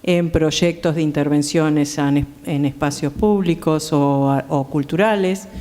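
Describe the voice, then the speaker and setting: Female panel guest, Rioplatense accent, halting informal explanations, reverberant theatre acoustics. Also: low voice, steady tone, dark tone. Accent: Rioplatense Argentine. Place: theatre, live conversation.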